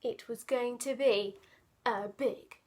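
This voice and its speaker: A woman reading a children's picture book aloud, dramatic and playful, often dropping into hushed asides. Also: a little high, steady tone, slow pace. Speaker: children's storyteller.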